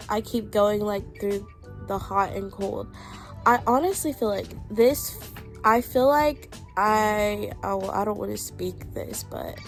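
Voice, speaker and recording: Slow-talking congested young woman vlogger. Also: medium pitch. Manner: rambling, filler-heavy, talking to viewers. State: congested, sick. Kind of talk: casual vlog chat. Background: loud background music.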